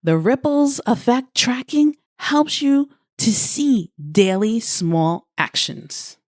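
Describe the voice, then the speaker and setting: Slow, expressive woman giving leadership coaching. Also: mid-range voice, no room sound, studio quality. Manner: deliberate, emphatic phrasing with short pauses. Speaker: podcast host and leadership coach. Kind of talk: scripted motivational coaching monologue.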